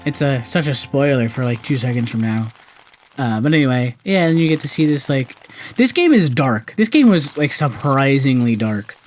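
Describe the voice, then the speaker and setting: A man's voice: mid-range voice, animated, normal speed, faint music behind. A young man giving casual let's play commentary, rambling and often correcting himself as host. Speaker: gaming video host.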